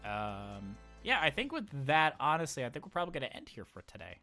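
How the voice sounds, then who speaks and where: A man's voice: medium pitch, wildly swooping pitch, brisk, pushed and bright, soft background music. A man hosting a casual livestream, chatting in an informal, rambling, jokey way. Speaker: livestream host.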